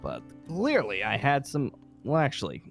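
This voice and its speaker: A man playing a weary, irritable survivor leader in an improvised zombie game roleplay, talking in a halting, rambling, emphatic way. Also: medium pitch, huge pitch swings, gravelly, faint music behind. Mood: agitated, exasperated. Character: weary, irritable safe-haven leader secretly seeking a zombie cure.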